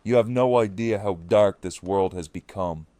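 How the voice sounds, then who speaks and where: Forceful, embittered male survivor leader played by an actor. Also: fairly low pitch, moderate pace, dry recording, natural voice. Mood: resentful anger. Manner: forceful, confrontational. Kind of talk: audio drama acting.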